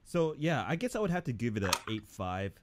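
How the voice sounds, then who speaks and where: A man's voice: medium pitch, big pitch swings, a little fast, noisy background. A young man chatting casually about an episode in a laid-back, easygoing way. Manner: laid-back, says dude a lot. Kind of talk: casual review chat.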